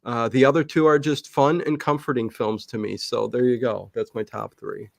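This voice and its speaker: A man's voice: mid-range voice, steady tone, vocal fry, dry recording. Rambling, emphatic man in casual chat, dry sound. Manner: rambling and halting, often backtracks and repeats words. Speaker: interview guest.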